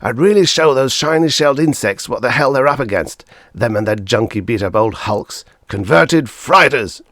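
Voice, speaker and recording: A male audiobook reader voicing an angry, bitter, hawkish father with savage, forceful outbursts. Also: medium pitch, lively intonation, normal speed, no room sound, clean studio sound, pitched above the performer's own voice. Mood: furious, scornful. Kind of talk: audiobook character voice.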